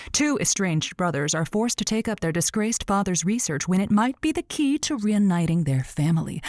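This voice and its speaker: Woman as playful late-night radio hostess, chatty and cutesy, very animated delivery, dry close sound. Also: fairly low pitch, dry recording, lightly acted. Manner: playful, chatty, addresses listeners cutely. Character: Josie Heller, flustered stand-in-less female late-night radio host.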